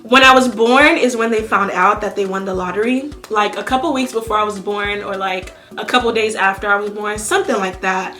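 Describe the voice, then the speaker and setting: A woman's voice: mid-range voice, brisk, hardly any pauses, bright, forceful tone, faint music behind. A young woman from Nigeria chatting casually to her channel while she does her makeup, sassy and full of slang. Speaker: YouTuber. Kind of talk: casual storytime chat while doing makeup.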